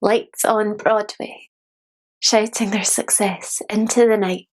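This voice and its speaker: A woman playing a disillusioned young dreamer in a solo dramatic monologue, reflective and deliberate, in short broken phrases. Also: medium pitch, steady tone. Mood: wistful.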